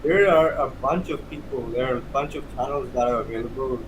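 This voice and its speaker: A man in his thirties with a Pakistani accent and a mid-pitched voice, speaking as a guest in a casual interview. He explains things conversationally, repeating and rewording as he goes, with clearly audible noise in the background. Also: brisk. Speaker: interview guest, cigar hobbyist.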